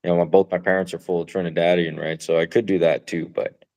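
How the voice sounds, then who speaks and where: A man co-hosting a podcast, chatting casually in a relaxed, rambling way, swearing now and then and laughing often. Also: deep voice, fast talker. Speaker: podcast co-host. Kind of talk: casual chat.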